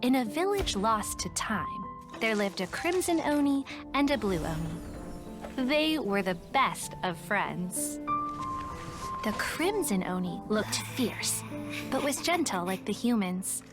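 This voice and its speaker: A woman voicing a shrewd, confident, money-minded detective in an anime video game, businesslike and deliberate. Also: mid-range voice, sing-song, loud music behind the voice. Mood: storytelling. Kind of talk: anime game voice acting, storytelling.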